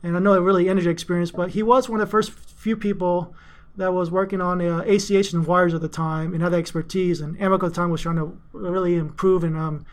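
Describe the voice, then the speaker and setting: High-pitched middle-aged man, interview guest, chatty and rambling, lots of ums. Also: steady tone, fast talker. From Greece, raised in Houston, Texas. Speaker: interview guest, finance executive. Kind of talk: casual interview storytelling.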